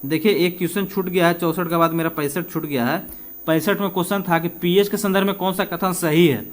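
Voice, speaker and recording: A man's voice: high voice, fairly even intonation, fairly quick. A man works through practice questions as a coaching teacher. He is informal and conversational, putting questions to the listener and answering them himself, and he slips between Hindi and English. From India.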